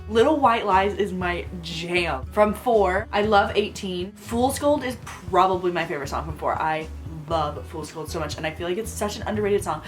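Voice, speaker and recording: A young woman talks to camera from a bedroom lined with bookshelves, gushing in an excitable fangirl way. Her voice has a slight roughness from a coming cold and sits at an ordinary pitch. Faint music plays underneath. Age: young adult. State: voice a bit rough from getting sick. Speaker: book vlogger. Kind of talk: casual Q&A vlog.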